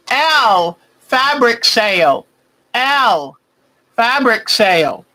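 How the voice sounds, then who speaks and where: Woman host with a big, bright voice, calling each game clue twice at an unhurried pace. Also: on the high side, expressive. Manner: calls each clue twice, slow and deliberate.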